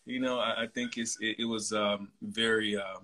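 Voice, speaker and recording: A man in his mid-forties hosting a casual interview, talking in a relaxed, chatty way with plenty of fillers. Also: lowish voice, moderate pace. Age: 40s. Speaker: host.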